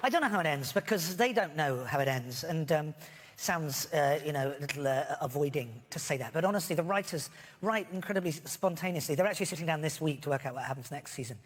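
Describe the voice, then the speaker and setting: A man's voice: fairly high pitch, lively intonation, quick pace. A man, a guest on a chat show, telling chatty self-deprecating anecdotes. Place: TV studio. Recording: long studio reverb, faint noise.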